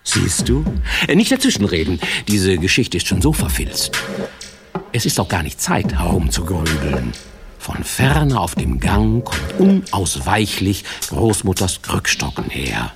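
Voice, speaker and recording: An actor playing a quirky fairy-tale storyteller in a children's radio play, rambling and talking straight to the listener in a whimsical way. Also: male voice, low-pitched, huge pitch swings, a little slow, vocal fry, over music, exaggerated voice. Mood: chiding, confiding. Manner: whimsical, digressive, addressing the listener directly.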